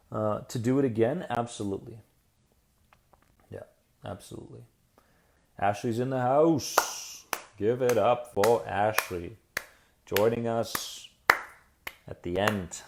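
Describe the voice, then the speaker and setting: Man with a gravelly, mellow coaching voice. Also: mid-range voice. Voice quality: soothing radio-style voice. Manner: upbeat and encouraging, reads out viewer comments; slow soothing guided-meditation delivery. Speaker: anxiety coach hosting a live stream. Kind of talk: casual live-stream chat answering viewer comments, then guided visualization.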